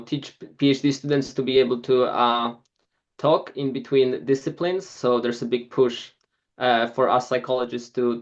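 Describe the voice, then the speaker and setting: A man's voice: mid-range voice, creaky, muffled recording. A man, giving an informal club presentation in a hesitant way with many filler sounds. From Poland. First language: Polish.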